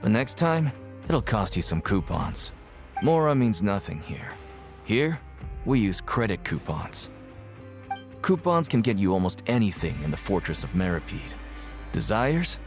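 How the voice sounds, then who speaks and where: An actor playing a gruff, cynical, streetwise inmate who works as a prison guide in a video game, curt and jaded in manner. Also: male voice, fairly low pitch, big pitch swings, a little slow. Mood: grudging, sly. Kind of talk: video game character acting. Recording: game music behind the voice.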